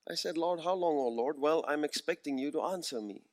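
A man in his forties preaching a sermon, earnest and emphatic, slowing down to repeat key phrases. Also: on the high side, a little mellow. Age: 40s.

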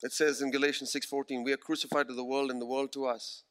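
A man in his forties preaching a sermon, earnest and emphatic, slowing down to repeat key phrases. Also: medium pitch, little pitch movement, fairly bright tone. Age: 40s.

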